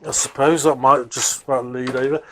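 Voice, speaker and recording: A man's voice: medium pitch, little pitch movement, moderate pace, a bit bright, slight background noise. Man, hesitant and thinking aloud. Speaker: hobbyist video presenter. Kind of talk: casual unscripted workbench commentary.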